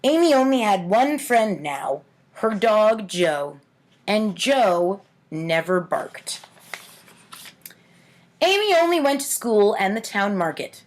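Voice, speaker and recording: A woman's voice: medium pitch, big pitch swings, slow pace. An adult woman hosting a storytime, reading in a tongue-in-cheek manner with casual asides. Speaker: host of a storytime series reading own childhood writing.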